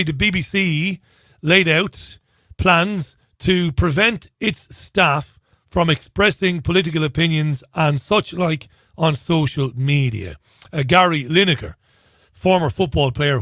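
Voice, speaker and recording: Hoarse male talk host, dry close mic, chatty and wry, cuts himself off mid-thought. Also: fairly high pitch, a bit bright, no echo. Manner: chatty, wry asides, self-interrupting. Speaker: radio show host. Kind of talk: casual talk radio monologue.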